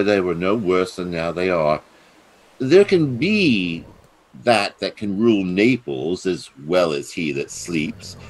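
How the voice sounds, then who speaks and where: An actor playing a scheming, treacherous usurping duke in a Shakespearean radio play, theatrical and insinuating. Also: male voice, deep voice, full character voice, rough recording.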